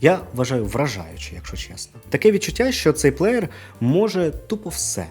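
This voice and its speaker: A man's voice: fairly high pitch, wildly swooping pitch, normal speed, a little mellow, slightly rough. A man giving a conversational product review, casual in manner, with asides and rhetorical questions. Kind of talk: conversational video review to camera. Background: music.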